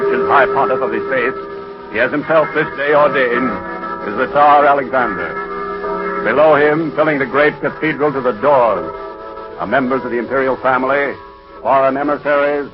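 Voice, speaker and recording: A grave, measured narrator, played by a man, sets historical scenes in a portentous 1940s radio manner. The recording is dull, with strong music behind the voice. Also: medium pitch, lightly acted. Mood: solemn. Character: solemn documentary-style narrator of a historical radio drama.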